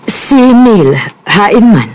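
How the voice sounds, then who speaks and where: A woman's voice: mid-range voice, very animated, extremely slow, darkish tone, poor audio. An actress with a Bisaya Filipino accent playing an older, suspicious mother who insinuates and nags in a radio drama. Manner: insinuating, nagging.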